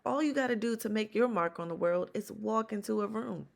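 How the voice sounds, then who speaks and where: A woman plays a folksy storybook uncle, full of jokes and kind encouragement. The delivery is gravelly and homespun. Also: mid-range voice, brisk, natural voice. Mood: encouraging. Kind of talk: storybook read-aloud.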